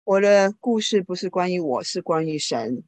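A woman interpreting a speaker's talk into another language live, quickly and informally, often correcting herself. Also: lowish voice, expressive, a little mellow.

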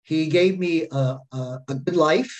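A man in his fifties telling his personal faith testimony in short phrases, pausing for an interpreter. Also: on the high side. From America. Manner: speaks in short phrases with pauses and fillers. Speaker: guest speaker sharing testimony.

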